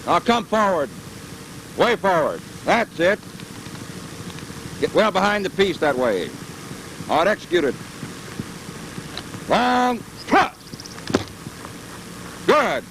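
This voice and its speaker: A man giving brisk, commanding military drill instruction with barked orders, on a vintage training film soundtrack. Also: high voice, very animated, creaky, a bit bright. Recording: noisy vintage 1930s-40s training film.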